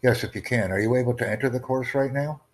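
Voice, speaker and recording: A man's voice: medium pitch, fast talker. A man hosting a casual video chat, earnestly promoting his language course and repeating himself. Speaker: language-learning channel host.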